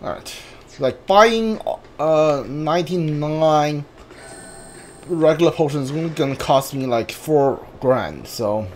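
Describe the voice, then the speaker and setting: A man's voice: on the high side, expressive, slow pace, a little mellow, a little hiss or hum. A man giving casual commentary on a game he plays, often muttering questions and asides to himself. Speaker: gamer streaming a blind playthrough. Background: game music.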